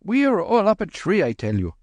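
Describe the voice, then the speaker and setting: A man's voice: wildly swooping pitch, brisk, a little mellow, no room sound, heavily acted. A man putting on a frantic fussy clerk. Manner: fretful, pleading, high-strung. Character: fussy anxious middle-aged Indian clerk, excitable.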